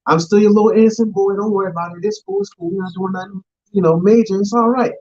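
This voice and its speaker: A man's voice: sits high, expressive, fast, a little mellow, muffled recording, pitched above the performer's own voice. Man acting out an easygoing, slangy young son. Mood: reassuring. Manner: casual, repetitive, slangy. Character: easygoing young son reassuring and pleading with his mother.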